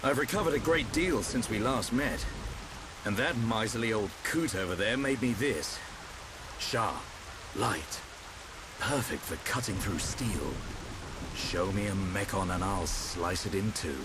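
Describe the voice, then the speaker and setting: An actor playing a seasoned, resolute warrior in a video game, measured and formal with dry humour. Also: male voice, fairly low pitch, natural voice. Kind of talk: video game cutscene voice acting. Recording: steady rain-like noise behind dialogue.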